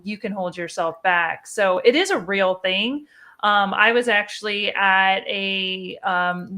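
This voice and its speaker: A woman guest on a podcast speaks earnestly and with emphasis, urging her listeners on. Her voice sits at an ordinary middle pitch and she talks in an easy conversational flow. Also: bright, forceful tone. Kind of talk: motivational conversational answer.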